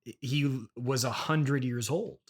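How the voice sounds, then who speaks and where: A man hosting an improvised comedy podcast interview, halting and apologetic at times, needling at others. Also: medium pitch.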